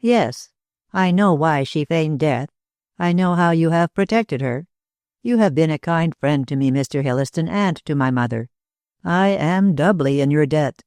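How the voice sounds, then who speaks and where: A reader voicing a composed young gentleman who speaks dryly and with satire. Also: medium pitch, lively intonation, a little slow, no room sound. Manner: dry, satirical.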